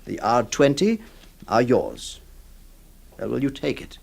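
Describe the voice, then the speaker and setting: A man's voice: medium pitch, big pitch swings, creaky, darkish tone, slightly rough, heavily acted. A man playing an exasperated, pleading lawyer. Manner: pleading, exasperated, halting stammers. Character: exasperated middle-aged male lawyer employer. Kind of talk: audio drama acting.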